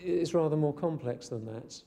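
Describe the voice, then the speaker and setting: Mellow male detective, faint noise. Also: fairly high pitch, moderate pace. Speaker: police detective. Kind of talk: explanatory news interview.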